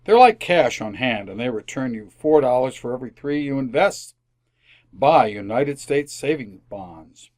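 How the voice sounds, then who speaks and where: Casting: male actor as earnest sitcom father, mid-pitched, easily flustered, eager to please, given to oratory, vintage radio comedy style. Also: animated, unhurried, a little mellow, natural voice. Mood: earnest speechifying. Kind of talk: re-created 1950s radio sitcom acting.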